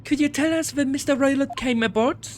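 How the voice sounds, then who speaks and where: A courteous young woman played by a man speaks in a raised, gentle voice. Her politeness is steady and soft, over a noticeable hiss in the recording. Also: very high voice, a little fast, pitched above the performer's own voice. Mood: polite.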